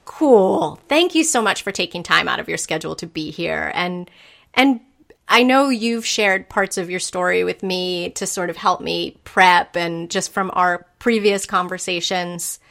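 A woman's voice: on the low side, unhurried, gravelly, a bit bright. A woman hosting a podcast, introducing her guest and chatting with her in an enthusiastic, eager way. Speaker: podcast host. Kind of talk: casual interview chat.

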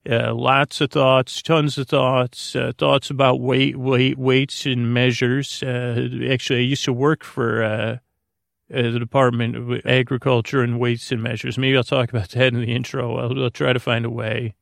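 A man with a creaky voice hosting a sleep podcast, rambling soothingly and haltingly in a friendly bedtime monologue, repeating himself and trailing off mid-thought. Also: medium pitch, flat delivery, a bit bright, dry recording, clean studio sound. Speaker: podcast host.

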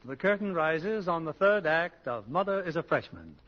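A man's voice: a little high, very animated, normal speed, big-room sound. A male announcer delivering scripted narration in the style of 1940s radio. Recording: lo-fi 1940s radio broadcast, loud music.

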